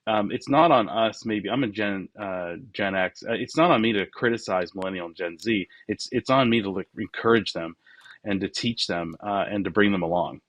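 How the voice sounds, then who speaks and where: A man of forty-nine talking casually and reflectively as an interview guest, often voicing his remembered thoughts in a halting way. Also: lowish voice, fairly bright tone, no echo. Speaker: podcast guest, business founder.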